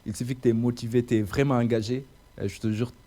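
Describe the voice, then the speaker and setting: Mellow-voiced man talking earnestly, casual. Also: medium pitch, fairly even intonation, fast talker. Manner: earnest, colloquial, heavy use of "tu vois" and "quoi" fillers. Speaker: radio presenter interviewed as guest. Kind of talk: casual conversational interview.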